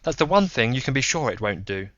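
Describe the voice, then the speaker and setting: A man voicing a brisk, assured woman scientist in an audiobook story, crisp, certain and commanding. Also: medium pitch, very animated, a bit bright, no room sound, lo-fi sound, pitched above the performer's own voice.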